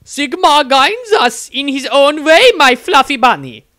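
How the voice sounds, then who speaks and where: A man's voice: very high-pitched, very animated, a little slow, pushed and bright, pitched above the performer's own voice, heavily acted. A man voicing an overbearing, amorous, zealous nun in an audio story, gushing and cooing with great emphasis. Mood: gushing, devout.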